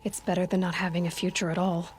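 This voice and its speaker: A woman's voice: on the low side, flat delivery, fast talker, a little mellow, slight background noise, natural voice. An actress playing a determined young warrior woman in a video game, terse and direct. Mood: firm. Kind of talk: cinematic video game acting.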